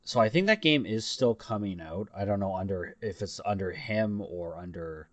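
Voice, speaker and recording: A man's voice: fairly low pitch, animated, moderate pace, no echo, highs cut off. A man co-hosting a podcast, chatting casually and informally in a somewhat tentative way. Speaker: podcast co-host. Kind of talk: casual chat about games.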